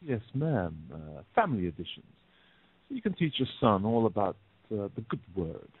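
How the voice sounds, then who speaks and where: A man acts a menacing phony salesman in an audio play. The voice is husky and lowish, with an insincere edge. The recording is dry and band-limited. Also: big pitch swings, no echo, phone-quality sound, full character voice. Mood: oily, falsely polite. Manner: halting, falsely polite, then curt. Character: menacing gunman posing as insincere Bible salesman. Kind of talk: audio drama acting.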